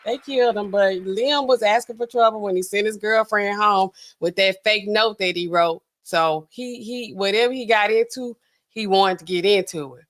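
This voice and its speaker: A woman's voice: mid-range voice, moderate pace. Sassy chatty woman in her forties. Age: 40s. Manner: chatty, sassy, animated swings between loud and hushed. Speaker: livestream host. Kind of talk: casual livestream chat reading viewer comments.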